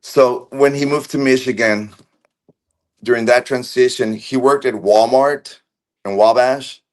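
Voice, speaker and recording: A man of Argentine background, a guest on a video call, recalling events slowly with many fillers, restarts and pauses. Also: medium pitch, steady tone. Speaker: interview guest. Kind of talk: casual recollection.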